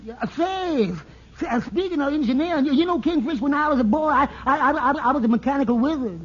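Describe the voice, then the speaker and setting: An actor playing a flustered, simple-minded, harmlessly boastful pal with a heavy comic stutter in an old radio sitcom. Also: male voice, very high voice, fast. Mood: boastful, tongue-tied. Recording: muffled, slightly noisy vintage radio recording.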